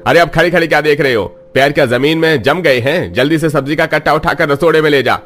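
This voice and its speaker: A man playing a stingy, sarcastic restaurant boss in a cartoon story, scolding his staff in a gruff, scornful way. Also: a little high, fast, a bit bright, lightly acted. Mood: angry scolding. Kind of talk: Hindi cartoon story acting.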